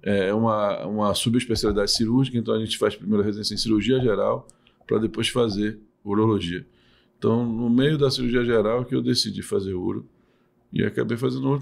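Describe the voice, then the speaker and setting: A man's voice: lowish voice, steady tone, fast talker, gravelly. A middle-aged man from Bahia, a urologist and professor explaining things patiently in an interview, often restarting his phrases. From Salvador, Bahia, Brazil.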